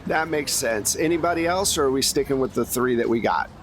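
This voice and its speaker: Male podcast host and game master, average pitch, informal chat, faint noise behind. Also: expressive, fairly quick. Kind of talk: casual wrap-up chat with players.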